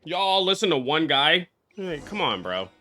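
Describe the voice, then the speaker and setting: A man's voice: a little high, sing-song, unhurried, sharp tone. A young man streaming a game, reacting casually with slangy, profane trash talk.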